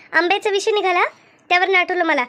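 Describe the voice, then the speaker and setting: A woman voicing a chatty, good-humoured village gossip in an animated comedy, with a rural Maharashtrian accent and exclaiming, colloquial talk. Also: very high-pitched, brisk. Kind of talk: animated cartoon comedy dialogue.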